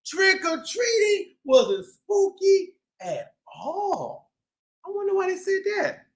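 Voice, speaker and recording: A man's voice: extremely high pitch, sing-song, takes it slowly. A man hosting a read-aloud for young children, playful and exclamatory with a sing-song delivery. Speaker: children's storytime host.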